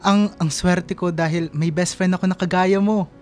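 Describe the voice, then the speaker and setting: An actor playing an anxious, lovesick young man, earnest and halting, in a casual radio drama over faint music. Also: sits high, fairly even intonation, darkish tone, close to an everyday voice. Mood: relieved, grateful. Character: lovesick, anxious young man calling his best friend from abroad. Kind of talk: radio drama acting in casual Filipino talk.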